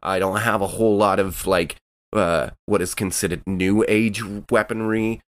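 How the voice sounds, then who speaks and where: A man's voice: fairly low pitch, steady tone, normal speed, clean tone. A man playing a tabletop game, talking loosely and rambling with fillers. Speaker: player. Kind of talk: casual tabletop game chat.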